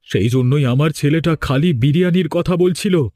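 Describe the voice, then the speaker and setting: A man's voice: on the high side, normal speed, warm tone, dry recording, close to an everyday voice. A man playing a sombre, middle-aged villager in a horror cartoon, earnest and subdued. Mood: worried.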